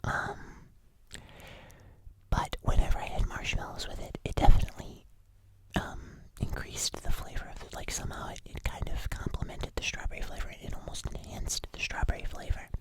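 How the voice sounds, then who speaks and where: An ASMR host giving a casual food review in a breathy whisper, often pausing to correct themselves. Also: low-quality recording.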